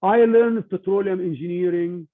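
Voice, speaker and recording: An older man from Egypt giving an informal talk to students, with halting speech and frequent restarts over a tinny video-call line. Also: high-pitched. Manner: halting, many restarts and fillers. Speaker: guest speaker.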